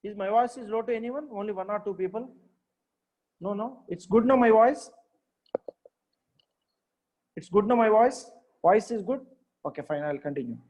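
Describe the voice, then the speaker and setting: A man's voice: high-pitched, animated, moderate pace, pauses often, a little mellow. A man, teaching maths in a live online class. He repeats himself, checks on his students often and praises their answers.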